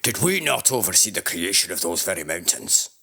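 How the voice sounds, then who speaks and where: A man playing a confident, stubborn warrior in a fantasy audio drama, with a gruff, husky voice. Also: low voice, big pitch swings, brisk, bright, forceful tone, exaggerated voice. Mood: confident, argumentative.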